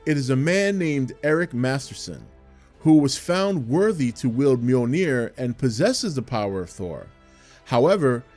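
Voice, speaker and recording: A man hosting a video with scripted narration. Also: mid-range voice. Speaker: video host. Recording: music behind the voice. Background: music.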